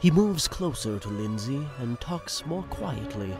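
A man's voice: mid-range voice, very animated, a little slow, music in the background. A man narrating a radio play in the present tense with a conversational storytelling manner.

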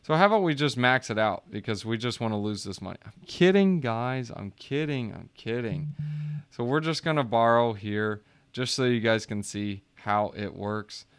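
A man's voice: mid-range voice, expressive, normal speed, smooth. A man casually walking viewers through a screen share, joking and chatty, calling them guys. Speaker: crypto YouTuber.

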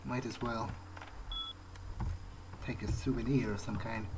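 A man's voice: mid-range voice, level tone, noisy background. A man giving in-character video game commentary, thinking aloud in hesitant, broken phrases. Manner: hesitant, halting musing in short broken phrases. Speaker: let's player speaking in character. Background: video game music.

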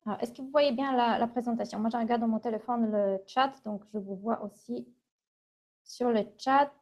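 High-voiced woman with a Polish accent. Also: steady tone, moderate pace, darkish tone. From Poland. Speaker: university international relations manager, webinar presenter. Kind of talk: informal webinar presentation. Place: small office, indoors. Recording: dull, lo-fi webcam audio.

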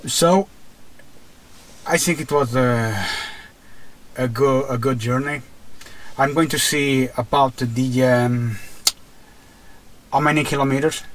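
A man's voice: medium pitch, slow pace, pauses often, a little hiss or hum. A man with a Portuguese accent narrating a car test as a vlogger, hesitant, with many fillers and restarts, recorded inside a car. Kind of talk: casual narration while driving.

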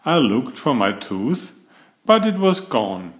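A man reading a children's picture book aloud, raising his pitch for the girl's lines. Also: big pitch swings, slow pace.

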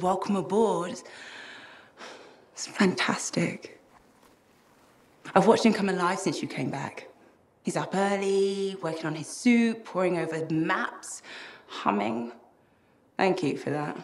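A woman's voice: fairly low pitch, normal speed, pauses often, lightly acted. A woman playing a troubled, earnest young mystic friend in a video game cutscene, wry and thoughtful. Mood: pensive. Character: troubled, earnest young female cypher, self-blaming friend. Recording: music behind the voice.

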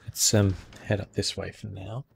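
A man giving soft-spoken, relaxed ASMR commentary over a video game, murmuring and often dropping into whispers. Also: deep voice, moderate pace, gravelly. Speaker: gaming video host.

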